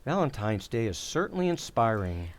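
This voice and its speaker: A man's voice: medium pitch, big pitch swings, moderate pace, close to an everyday voice. A man playing a mild, polite, hesitant husband in an old-time radio sitcom. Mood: sheepish, evasive.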